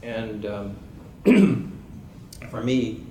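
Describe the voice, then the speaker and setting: Musing male panelist with a lowish voice, heard in a roomy hall over steady background noise. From Georgia, USA. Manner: unhurried, musing, many ums and uhs. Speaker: panelist writer. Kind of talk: reflective panel talk. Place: event room in a library. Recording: echoey room with background noise.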